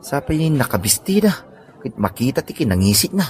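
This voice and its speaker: A nosy, mocking male buddy with an Ilocano accent, played by an actor. He speaks in a lively, varied pitch in comedy drama style. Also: fairly quick, close to an everyday voice.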